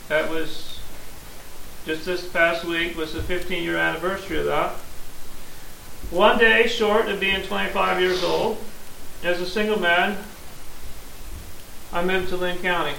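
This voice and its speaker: Gravelly high-voiced preacher in an echoey room. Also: male voice, pushed and bright. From Missouri, USA. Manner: plain-spoken, earnest, explains scripture step by step. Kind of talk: sermon. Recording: echoey room from a distance, faint hiss.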